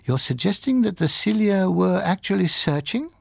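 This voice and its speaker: A man voicing a learned, pompous scientist in an audiobook, speaking in a deliberate, drily ironic, lecturing manner. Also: high voice, expressive, gravelly, slightly rough, no room sound, clean studio sound, voice pushed up, full character voice. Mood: brisk, dry. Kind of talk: dramatised audiobook reading.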